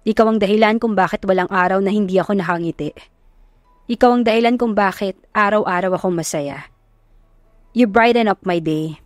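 A woman's voice: mid-range voice, dry recording, clean studio sound, close to an everyday voice. A woman with a Filipino accent voicing a sincere, devoted young man in love, speaking earnest sentimental lines.

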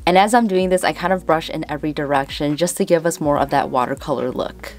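A woman's voice: deep voice, quick pace, over loud music. A woman in her twenties with a Chicago accent, chatting casually as she explains a DIY craft tutorial step by step. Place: indoors, room with houseplants.

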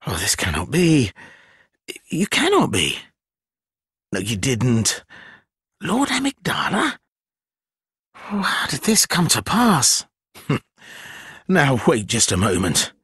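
A sly, fawning spider creature from a dark fantasy game, drawling slowly in a raspy voice. The pitch swoops extremely, and the archaic phrasing is theatrical and mocking. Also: male voice, huge pitch swings, no echo, clean studio sound, exaggerated voice. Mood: mocking, taunting, then dismayed, wheedling. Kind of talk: dark fantasy video game character acting.

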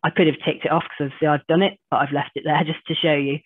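A woman, hoarse from a cold, giving an online business training webinar and chatting through software demos on a video call. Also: deep voice, level tone, fast. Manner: chatty, self-deprecating explainer walking through screen demos. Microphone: video call webcam. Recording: tinny phone-like call audio.

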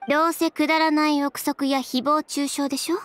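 A woman's voice: high voice, level tone, natural voice. A woman voicing a proud, cool-headed young fashion designer who speaks bluntly and with self-assurance in an anime game. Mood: dismissive. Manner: direct, brisk, self-assured. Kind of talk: Japanese anime game voice acting.

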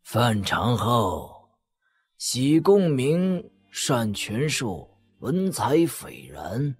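An actor playing a proud, sentimental old strategist in an audio drama, declaiming slowly with deliberate pauses in a husky, gravelly voice. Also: male voice, mid-range voice, very animated, faint music behind, full character voice. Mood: solemn, measured. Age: older. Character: free-spirited old strategist, proud, sentimental, at life's end.

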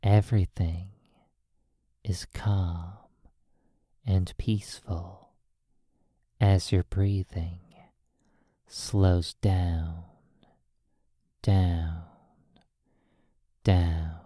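An ASMR hypnosis roleplay by a sleep therapist speaking in a hushed, soothing manner, in drawn-out phrases with long pauses. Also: low voice, level tone, dry recording, studio quality.

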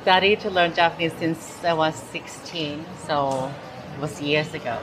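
Thai woman with a low voice, chatty. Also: background noise. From Thailand. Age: 30s. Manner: chatty, halting, many fillers and restarts. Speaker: interviewee. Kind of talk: casual street interview. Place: large indoor mall hall. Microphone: clip-on lapel mic.

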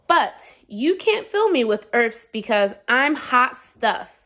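A self-important talking Sun from a storybook, played by a woman reading aloud, high in pitch and unhurried. The delivery is playful, showy and full of bragging. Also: big pitch swings, sharp tone, full character voice. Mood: boastful. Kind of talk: children's storybook read-aloud.

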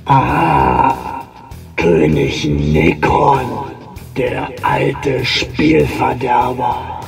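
A man playing a mocking, scheming monster god of corruption in a fantasy audio drama, with a drawn-out, sneering, theatrical delivery. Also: mid-range voice, gravelly, faint music behind.

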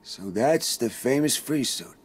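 An actor playing a tough, resolute police squad leader in a video game, blunt and earnest, with an American accent. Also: male voice, mid-range voice, lively intonation, a little slow, slightly rough. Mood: weary, relieved. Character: tough, resolute male police officer leading his squad.

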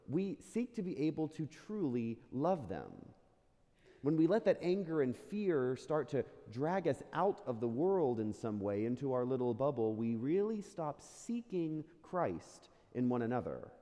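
A man's voice: medium pitch, very animated, mellow. American preacher man in a reverberant church. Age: middle-aged. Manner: earnest, deliberate rhetorical delivery with questions and pauses. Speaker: pastor, church rector. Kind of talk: sermon. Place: large church sanctuary, indoors. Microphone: pulpit gooseneck microphone. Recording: reverberant church sanctuary, pulpit microphone.

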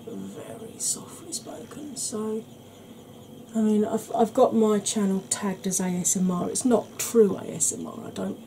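Brief: middle-aged woman, Welsh-Yorkshire accent, unhurried soft chat, home recording with steady background hiss. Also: medium pitch, creaky. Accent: Welsh and Yorkshire mix. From England, raised by Welsh family. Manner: deliberately slow, gentle, chatty. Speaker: beauty YouTuber. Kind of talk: slow soft-spoken makeup tutorial chat.

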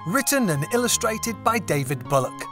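A man narrating a children's story as an audiobook reader, with expressive, deliberate phrasing. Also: high voice, loud music behind the voice.

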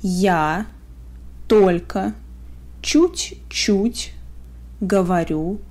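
A woman with a Russian accent teaching phrases, saying them word by word with careful pauses for learners to repeat. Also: mid-range voice, darkish tone. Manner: word-by-word, carefully spaced pronunciation. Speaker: language teacher. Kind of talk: slow phrase drills for learners.

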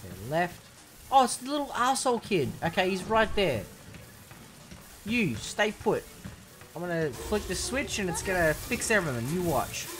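A young man commentating on a video game in a sarcastic, sweary way, with sudden excited outbursts. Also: high-pitched, normal speed, noisy background. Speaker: gaming commentator. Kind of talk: let's play commentary.

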